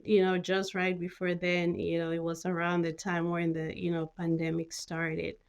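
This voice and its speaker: Lower-pitched woman. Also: completely flat delivery, moderate pace, smooth, highs cut off. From Cameroon. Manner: informal, peppers talk with "you know". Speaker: guest, mental health nurse practitioner. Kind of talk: conversational interview.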